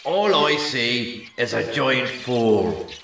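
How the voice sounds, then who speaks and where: Brief: man playing a bragging giant, gravelly and bright, slow lively delivery. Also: fairly low pitch, echoey room, recorded from a distance, slight background noise, heavily acted. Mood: taunting. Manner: boastful, gravelly. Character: proud boastful male Irish giant.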